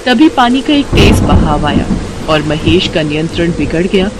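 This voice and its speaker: A woman narrating a scripted children's moral story as a storyteller, expressive and dramatic. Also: fairly low pitch, normal speed, heavy background noise. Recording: loud rain and ambience behind.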